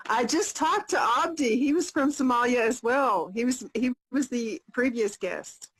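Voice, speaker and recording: An American woman in her fifties hosting a casual live video chat, upbeat and repeating her questions slowly and plainly. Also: a little high, breathy edge, poor audio. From United States. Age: 50s.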